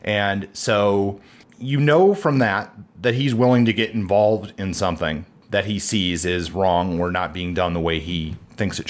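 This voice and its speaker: Low-voiced guy on a book podcast, thinks out loud, reads bits from the book. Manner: reads passages aloud, thoughtful with restarts. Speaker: podcast co-host. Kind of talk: casual book discussion.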